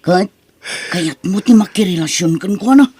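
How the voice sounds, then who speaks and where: A woman's voice: fairly low pitch, lively intonation, moderate pace, warm tone, breathy edge. A woman playing a cheeky, sociable young male friend in an Ilocano drama, with a teasing, casual manner. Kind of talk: Ilocano radio-style drama acting.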